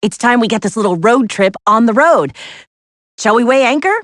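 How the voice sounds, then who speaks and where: A woman plays a young, capable American adventurer heroine from a cartoon video game. Her voice sits fairly high and swings widely in pitch, recorded close and dry. She guides with wry, sassy confidence and plenty of encouragement. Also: lively intonation, moderate pace, dry recording, natural voice. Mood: wry, businesslike. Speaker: video game character voice actress. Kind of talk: animated video game voice lines.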